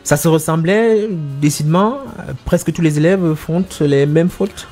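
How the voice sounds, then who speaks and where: Measured male interview host. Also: fairly high pitch, darkish tone, faint music behind. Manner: measured, formal questioning with fillers. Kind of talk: literary interview.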